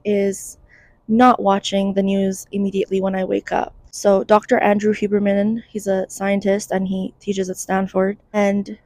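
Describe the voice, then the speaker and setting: A woman's voice: mid-range voice, monotone, a little slow, darkish tone, no echo. A young woman of Iranian background giving casual, candid mental health advice in a chatty, wry way. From Iranian diaspora.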